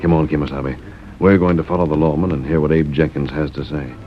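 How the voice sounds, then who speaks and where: An actor playing a resolute, fair-minded masked hero in a 1930s radio western. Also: male voice, a bass voice, steady tone, quick pace, over loud music. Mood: resolute, calm. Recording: dull, noisy 1930s radio recording.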